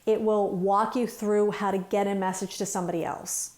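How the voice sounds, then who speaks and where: A woman's voice: mid-range voice, little pitch movement, normal speed, a little mellow. A woman working as a trainer, talking through instructions step by step in an informal, conversational way. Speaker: phone system trainer.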